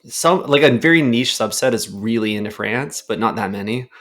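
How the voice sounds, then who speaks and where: A young man with a lowish, gravelly voice co-hosts a comedy podcast. He rambles through jokes in relaxed chat and laughs often. His voice has a rough, creaky texture. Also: normal speed. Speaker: podcast co-host.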